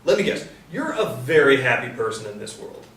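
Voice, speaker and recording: An actor playing a laid-back, observant male carpenter who gently provokes, in a staged play reading. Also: medium pitch, sing-song, fairly quick. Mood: needling. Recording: roomy, distant stage recording, faint noise.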